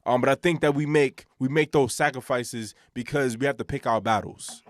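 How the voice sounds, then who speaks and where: A deep-voiced man co-hosting a casual podcast chat, relaxed and repeating words as he searches for his thoughts. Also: normal speed. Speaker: podcast co-host. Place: hot room in a building.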